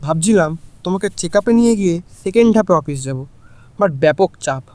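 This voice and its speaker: Guy as busy young husband, apologetic, high lively voice, dry sound. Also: brisk, dry recording. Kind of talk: audio drama acting.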